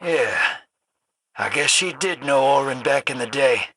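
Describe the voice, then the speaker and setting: A man playing a cynical, irritable rogue gambler in a video game, with a gravelly rasp and a sardonic, slangy drawl. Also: medium pitch, expressive, unhurried, sharp tone, no echo. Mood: sardonic, wary. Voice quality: raspy, gravelly. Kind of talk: dramatic video game dialogue.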